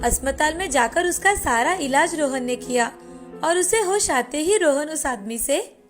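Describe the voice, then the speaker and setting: A woman's voice: sits high, animated, unhurried. A woman storyteller reading a scripted moral tale as narrator. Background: faint background music.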